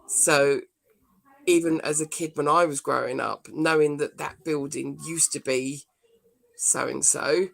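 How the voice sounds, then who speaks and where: A British woman with a low, creaky voice. She chats casually and informally as a co-host on a video call. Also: steady tone, unhurried. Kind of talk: casual chat. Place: indoor room, video call.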